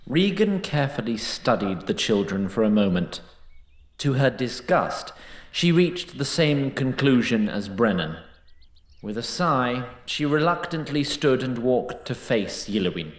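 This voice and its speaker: Fantasy narrator, male: deliberate and dramatic, highly varied melody, noticeably echoing space. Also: big pitch swings. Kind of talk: dramatic scripted storytelling narration.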